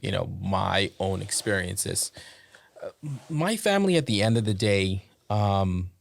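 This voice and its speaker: A man's voice: low voice, big pitch swings, measured pace, vocal fry, no echo. A man from the Bahamas hosting a casual podcast chat, asking careful, hedged questions and telling stories with laughs. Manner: thoughtful, hedging questions, laughs through stories. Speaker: podcast host.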